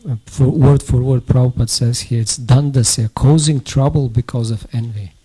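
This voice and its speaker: A man's voice: mid-range voice, steady tone, normal speed, dark tone, husky, poor audio. A man in a class explaining the meaning of a term. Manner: explanatory, citing terms. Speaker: class participant.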